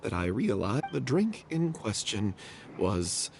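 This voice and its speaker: A man's voice: fairly low pitch, sing-song, slow pace, gravelly, music in the background, heavily acted. A man playing a guilt-ridden, cowardly theater troupe member in a video game, confessing in an apologetic, self-condemning way. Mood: regretful. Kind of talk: dramatic video game voice acting.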